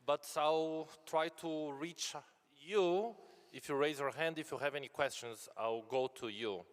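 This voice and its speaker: A man's voice: a little high, unhurried. A man moderating a conference Q&A in a casual manner, reading audience questions aloud. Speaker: session moderator. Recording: reverberant conference hall.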